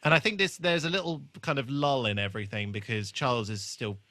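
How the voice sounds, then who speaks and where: A man's voice: mid-range voice, fairly even intonation, brisk, sharp tone, dry recording. A British man co-hosting a podcast, chatting casually about television in a hesitant, joking and sweary way. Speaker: podcast co-host. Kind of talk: casual TV rewatch chat.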